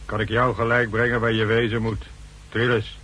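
A man's voice: mid-range voice, completely flat delivery, normal speed, pushed and bright, husky. A man playing a menacing henchman who mocks in colloquial, darkly joking talk in a 1960s radio drama. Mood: menacing, mocking. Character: sardonic, menacing male henchman. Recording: faintly noisy 1960s radio recording.

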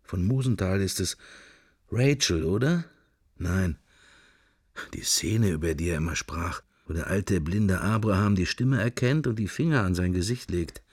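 A man's voice: deep voice, animated, measured pace, no room sound, clean studio sound. An actor playing a man's wandering, wry and melancholy inner thoughts in fragments, for a radio drama. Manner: murmured, fragmentary, introspective. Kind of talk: radio drama interior monologue.

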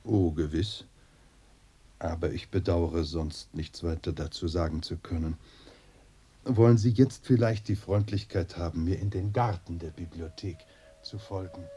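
A man playing a courteous, secretive monastery host in a German radio drama, formal, measured and guarded. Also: sits low, dark tone, slightly rough. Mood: politely evasive. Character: courteous, secretive Chinese lamasery host, serenely evasive.

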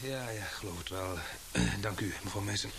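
An actor playing an earnest, sharp-witted newspaper journalist in a radio drama, speaking deliberately and hesitantly. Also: male voice, on the low side, creaky, noisy background. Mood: weary, hurt. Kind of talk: Dutch radio drama acting.

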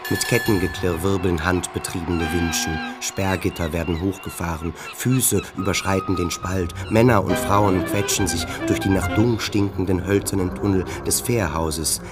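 A man's voice: low-pitched, normal speed, hardly any pauses, loud music behind the voice. A man giving a scripted literary narration for a radio play.

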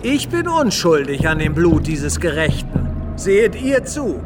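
An actor playing a Roman governor in a radio drama, putting declamatory questions to a crowd. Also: male voice, fairly high pitch, very animated, a little slow, recorded from a distance, over loud music. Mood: declaring innocence. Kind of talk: dramatic radio play dialogue.

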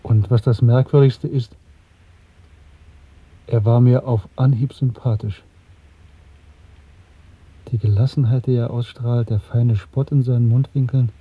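Brooding serious man confiding in his lover, radio drama, bit husky, tinny narrow old recording. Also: medium pitch, fairly even intonation, a little slow, long pauses. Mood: pensive, earnest. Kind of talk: German radio play acting.

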